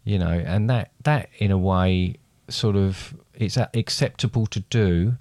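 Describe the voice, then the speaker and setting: A man's voice: low voice, measured pace, a little mellow, no room sound, studio quality. A man co-hosting a podcast, chatting casually, rambling and restarting his sentences. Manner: rambling, hesitant, with many restarts. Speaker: podcast co-host.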